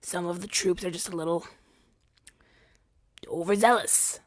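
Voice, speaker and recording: A man's voice: sits high, fairly even intonation, a little slow, no room sound, clean studio sound. A man playing an earnest, defensive hero of a fantasy rebellion in an audio roleplay, arguing in a conversational, stammering, exasperated way. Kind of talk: ASMR-style audio roleplay acting.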